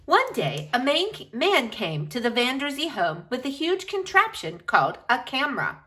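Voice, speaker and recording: A woman reading a picture book aloud to children in an expressive, animated way, speaking directly to her young listeners. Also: sits high, normal speed.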